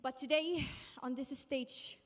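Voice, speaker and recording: A woman's voice: high-pitched, steady tone, slow pace, long reverb tail. A seventeen-year-old girl from Chile speaking on stage at a public panel, passionate and emphatic, repeating phrases for rhythm. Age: teens. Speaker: youth activist panelist. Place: large hall stage.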